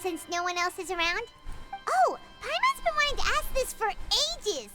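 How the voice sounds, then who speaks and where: A little floating fairy from a video game, childlike and bubbly. The voice is squeaky and extremely high, bouncing around with curiosity. Prominent music plays behind it. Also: female voice, big pitch swings, fairly quick. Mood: curious. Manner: refers to self by own name, bubbly.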